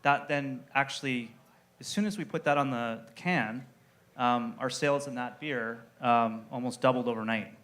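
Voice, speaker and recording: A man representing a brewery on a panel, answering in a relaxed, story-telling way with frequent fillers. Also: medium pitch, moderate pace. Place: event room with an audience.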